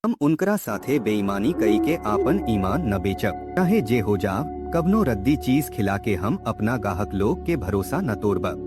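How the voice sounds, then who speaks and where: Man playing a low-voiced, earnest poor street vendor. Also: sing-song, measured pace, few pauses. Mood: firm, principled. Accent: Bhojpuri. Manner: earnest, pleading, principled. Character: poor, honest, devout male potato-fritter street vendor, married. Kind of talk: animated moral story dialogue. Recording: loud music bed behind voice.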